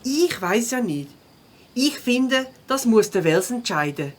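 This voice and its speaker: A woman voicing a fair-minded young beaver schoolchild in a children's audio drama, earnest and expressive, with a Swiss German accent. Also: a little high, takes it slowly, a little hiss or hum. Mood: thoughtful, hesitant.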